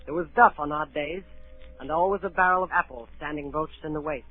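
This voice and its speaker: An actor playing an earnest narrator who recalls a boyhood sea adventure, in measured 1930s radio storytelling style. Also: male voice, a little high, faint music behind, close to an everyday voice. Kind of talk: dramatic first-person radio narration, 1930s. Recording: narrow-band 1930s radio broadcast.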